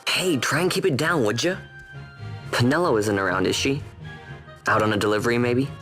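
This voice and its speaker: A man's voice: mid-range voice, brisk, fairly bright tone, soft background music, natural voice. An actor playing a cocky young street boy in a video game, with a casual, boastful manner. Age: young adult. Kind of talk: video game drama acting.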